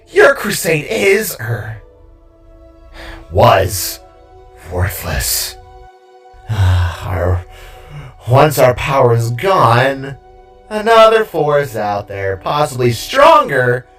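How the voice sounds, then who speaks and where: A man performs a fallen villain king in an audio drama, mocking and gleefully unhinged. He drags out his words in a groggy, hissing ramble that swoops widely in pitch, with music playing underneath. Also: fairly bright tone, distant mic.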